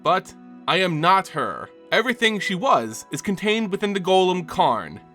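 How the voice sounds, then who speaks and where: A man voicing an enigmatic woman construct in a fantasy story, speaking in a measured, solemn way. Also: sits high, very animated, pushed and bright, faint music behind, exaggerated voice. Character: enigmatic woman construct from a dreamlike pocket realm.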